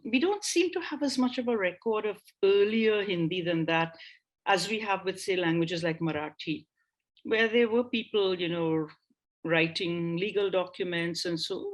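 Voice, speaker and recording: A woman giving an online lecture over a video call, thinking aloud with frequent pauses and restarts. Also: medium pitch. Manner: reads out an old text in a slower voice. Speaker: guest lecturer on language history.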